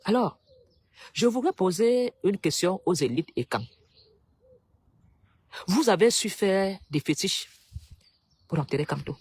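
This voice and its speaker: Husky voice, preachy political rant to the people, mid pitch. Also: animated, a little slow, pauses often, darkish tone. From Cameroon. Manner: preachy, rhetorical, appealing to the public. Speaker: political commentator. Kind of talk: impassioned political monologue.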